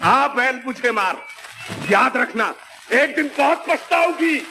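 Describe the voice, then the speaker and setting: An imperious, easily irritated master of the house in an old film comedy. His pitch is very high and swings widely as he orders and mocks. Music sits behind the old soundtrack. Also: expressive, takes it slowly, heavily acted. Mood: sarcastic, indignant. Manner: imperious, fussy, sarcastic. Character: haughty, irritable, sarcastic wealthy father and master. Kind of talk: 1950s Hindi film comedy acting.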